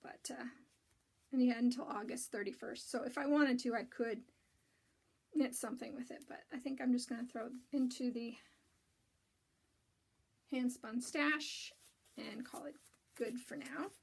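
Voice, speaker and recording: A woman with a raspy voice hosting a casual knitting and spinning show-and-tell, rambling with many ums and restarts. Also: a little high, unhurried, pauses often.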